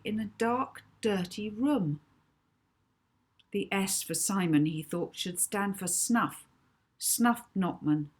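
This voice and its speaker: Woman reading a kids book out loud, slow and dramatic, kinda husky. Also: fairly low pitch. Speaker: author reading own novel aloud. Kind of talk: expressive children's audiobook narration.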